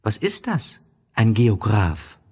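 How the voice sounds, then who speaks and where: A man's voice: lowish voice, very animated, slow, a little mellow, husky, pitched above the performer's own voice, exaggerated voice. A performer voicing a small, innocent boy prince in a storybook audio drama, earnest and full of wondering questions. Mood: curious.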